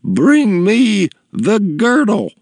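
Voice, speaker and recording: Man putting on a high, haughty old woman. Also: wildly swooping pitch, darkish tone, dry recording, clean studio sound, exaggerated voice. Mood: imperious, demanding. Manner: drawn-out, commanding, shrill. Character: imperious, demanding, haughty large older woman customer. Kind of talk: storybook character voice in narration.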